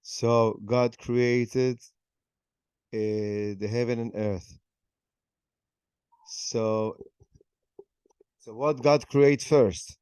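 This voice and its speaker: Slow, mellow-voiced man explaining scripture. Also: medium pitch, fairly even intonation, smooth, dry recording. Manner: non-native English, halting. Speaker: participant in an online session. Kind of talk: explaining a Bible passage.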